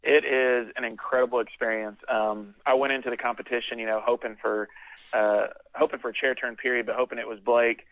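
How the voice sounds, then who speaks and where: A man speaks in a very even, flat voice down a tinny phone line. He sounds relaxed and thoughtful as he answers. His voice is close and dry. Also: medium pitch, brisk, no echo. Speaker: singing contestant, phone guest. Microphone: telephone.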